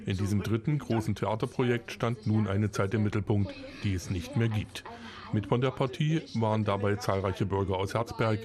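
A man reading a local news report in a measured, scripted narration style. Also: lowish voice.

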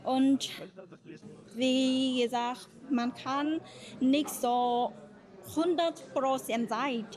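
A young woman from Vietnam with a Vietnamese accent, giving a halting interview answer in a second language as a trainee. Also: high-pitched, steady tone, darkish tone. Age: young adult. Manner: halting non-native phrasing.

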